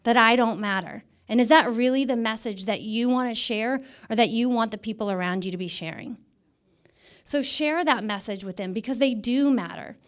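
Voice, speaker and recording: A woman's voice: a little high, moderate pace. A middle-aged woman with an American accent speaks as a guest presenter, emphatically repeating words for stress in a motivational talk. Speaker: guest speaker, image consultant. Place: indoor stage in a dark event room. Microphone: handheld microphone.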